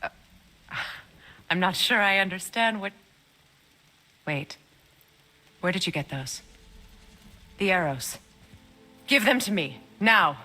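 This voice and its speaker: An actress playing a powerful, regal witch in a cinematic game, guarded and commanding in a firm, measured manner. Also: on the low side, expressive, sharp tone, heavily acted. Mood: alarmed, commanding.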